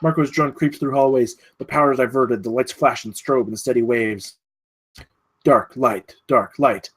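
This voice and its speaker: A man's voice: medium pitch, normal speed, husky. Man narrating screenplay action. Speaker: narrator reading stage directions. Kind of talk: scripted narration at a screenplay table read.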